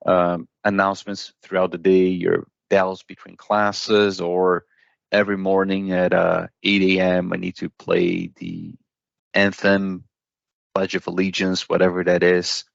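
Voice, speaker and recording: A man with a deep voice and a gravelly edge explains technical products as a guest expert. He talks conversationally and step by step, often tagging points with a checking question. The sound is dry and slightly dull. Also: dry recording. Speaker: product expert guest from a paging hardware company.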